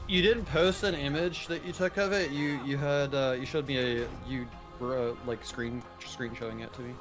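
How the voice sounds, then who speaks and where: A man's voice: mid-range voice, steady tone, moderate pace, music in the background. A man chatting casually and a bit ramblingly as co-commentator on a game stream. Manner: rambling, frequent 'like'. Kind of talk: casual chat.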